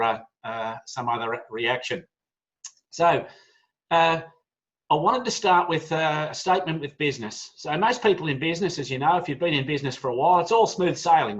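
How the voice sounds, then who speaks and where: A man's voice: mid-range voice, fairly bright tone. Chatty Australian man on a muffled call. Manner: chatty, many "uh" fillers, friendly asides to the audience. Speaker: business speaker. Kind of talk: informal business presentation on a video call. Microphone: video call. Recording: dull lo-fi video call audio.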